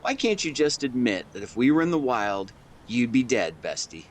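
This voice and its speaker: A man playing an affectionate, playful young best friend in a romantic audio roleplay, speaking to the listener in a teasing, tender, expressive way. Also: mid-range voice, moderate pace, a little hiss or hum, natural voice.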